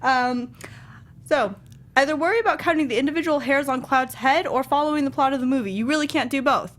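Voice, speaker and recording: A woman co-hosting a casual review chat in an informal, playful, tongue-in-cheek way. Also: brisk. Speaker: co-host of a movie review show. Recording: clear background noise, low rumble.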